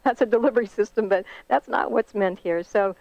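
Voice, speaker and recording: A woman's voice: fairly high pitch, fairly quick, vocal fry, darkish tone, no echo. A woman hosting a conference call interview, chatty and explanatory, speaking over a telephone line. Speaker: host of a company conference call. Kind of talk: conversational scripted-question interview. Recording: band-limited, dull conference phone line.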